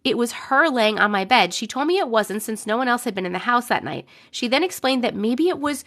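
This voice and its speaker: A young adult woman live streaming, chatting with viewers and reading stories, her voice a little rough from a cold. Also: fairly high pitch, expressive, fairly quick, a bit bright. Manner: chatty, greets viewers by name between sentences. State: voice a bit rough from getting over a cold. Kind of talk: casual live stream chat and story reading.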